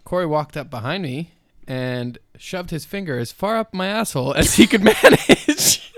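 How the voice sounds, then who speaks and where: A man's voice: a little high, animated, moderate pace, dry recording. Twenties guy cohosting, swears a lot, cracks himself up, bouncy voice, dead dry sound. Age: 20s. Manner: joking, profane, laughs through his stories. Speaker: podcast co-host. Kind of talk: casual chat.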